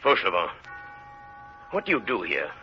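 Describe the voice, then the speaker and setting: An actor playing a grave, intense storyteller and hunted man in vintage radio drama, measured and theatrical. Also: deep voice, huge pitch swings, soft background music. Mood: urgent. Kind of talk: 1930s-40s dramatic radio narration and acting. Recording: muffled vintage radio recording.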